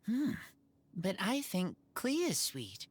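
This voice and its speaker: Halting, slow-spoken feral teenage boy. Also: high voice, wildly swooping pitch, a little hiss or hum, heavily acted. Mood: shy, earnest. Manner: halting, terse, broken speech. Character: gentle feral teenage boy, simple and earnest. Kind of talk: video game character acting.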